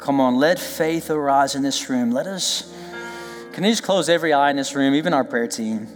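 A male pastor preaching a lively, joking sermon, then leading prayer and worship while losing his voice. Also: medium pitch, normal speed, in a large hall, soft background music. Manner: lively, joking, emphatic preaching with call-and-response asides. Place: church sanctuary.

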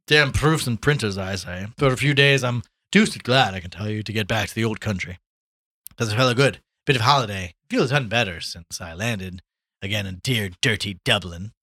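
A man's voice: fairly low pitch, bright, forceful tone. A man voicing a jovial, boastful journalist in a storybook, hearty and exclamatory. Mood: blustering. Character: loud, swaggering, jovial male Irish journalist back from London.

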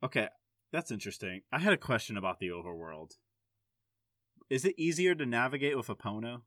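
A man's voice: medium pitch, animated, brisk, fairly bright tone, no echo, clean studio sound. A man in casual game chat, blunt and sweary, often asking questions. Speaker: podcast speaker.

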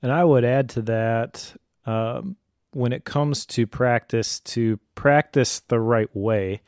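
A man's voice: medium pitch, slow pace, a little mellow, no echo, clean studio sound. A middle-aged man speaking casually and thoughtfully, explaining advice as a parent. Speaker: homeschooling parent giving advice.

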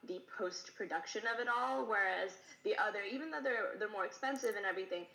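Woman, bright tone, echoey room, casual agreeable chat. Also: medium pitch, fairly quick, gravelly. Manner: agreeable, chimes in with yeahs and teasing. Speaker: guest on a call.

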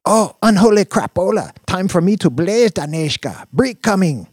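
A man's voice: high voice, animated, moderate pace, a little mellow, slightly rough, no room sound, studio quality, voice pushed up. A man voicing a tiny, doting female holographic cheerleader, gushing in broken English in an audiobook. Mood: pouty, playful.

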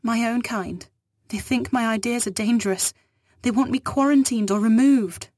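A woman's voice: a little high, moderate pace, darkish tone, no room sound, studio quality, voice pushed up, lightly acted. A woman voicing a frightened, ancient digitized alien woman who pleads for asylum in an afraid, breathless way. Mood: panicked. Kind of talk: audio story dialogue.

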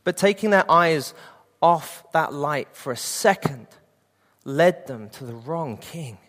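A man's voice: on the high side, lively intonation, slow pace, fairly bright tone, in a large hall. A man in his late twenties preaching a sermon and sharing an earnest personal testimony. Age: 20s.

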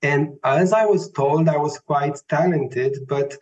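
A man in his thirties with a Romanian accent, a classical tenor answering interview questions slowly and thoughtfully, often searching for words. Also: a little high, darkish tone. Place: Vienna. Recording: dull lo-fi sound, faint music.

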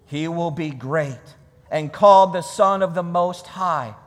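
A man delivers a sermon in a high voice, taking his time over each phrase. His delivery is deliberate and emphatic, repeating key words for weight. The sound carries the long reverberation of a large hall. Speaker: preacher. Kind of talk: sermon with scripture reading.

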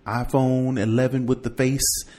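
A middle-aged American man in casual podcast conversation, co-hosting with a halting, laid-back delivery. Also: medium pitch, flat delivery, darkish tone. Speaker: podcast co-host. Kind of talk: casual chat.

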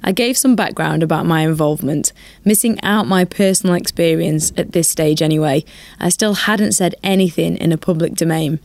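A woman's voice: lowish voice, normal speed, hardly any pauses, darkish tone, dry recording. Woman, sniffly cold voice, candid documentary voice-over, dry close sound. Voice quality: nasal, congested. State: has a cold, bunged up and sniffly. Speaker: documentary narrator. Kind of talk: reflective voice-over narration.

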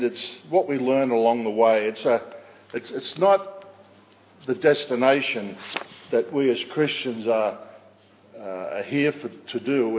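A man preaching a sermon, speaking in a measured way with occasional fillers and self-corrections, and with a loud voice. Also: mid-range voice. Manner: says he has a loud voice. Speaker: pastor. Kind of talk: expository sermon. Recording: dull church recording with long reverb.

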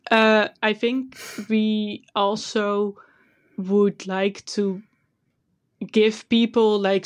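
A woman with a Dutch accent co-hosting a casual podcast, telling a story in a relaxed, self-conscious way with frequent laughs. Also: mid-range voice. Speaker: podcast co-host. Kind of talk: casual chat.

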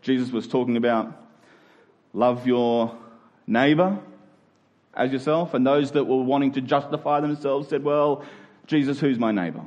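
A man's voice: mid-range voice, moderate pace, long pauses, a little mellow, lo-fi sound. A man preaching a conversational expository sermon as a pastor, often posing rhetorical questions and checking in with his listeners. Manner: asks rhetorical questions with check-in tags like "right?" and "okay?".

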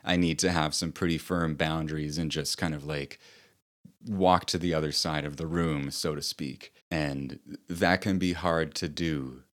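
A man with a deep voice giving a slow, thoughtful personal talk as an author and host. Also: fairly even intonation.

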